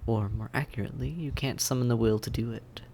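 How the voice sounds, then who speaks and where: A man playing a suave, polite vampire in an audio roleplay, courteous and measured, with a softly teasing menace. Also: mid-range voice, gravelly, a little hiss or hum, close to an everyday voice. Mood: smug, menacing. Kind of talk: scripted audio roleplay acting, addressed to the listener.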